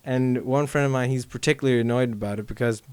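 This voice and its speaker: A man's voice: medium pitch, steady tone, fast, a little mellow, clean tone, dry recording. A man hosting a podcast in casual chat. He rambles, uses many fillers and laughs easily. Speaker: podcast host.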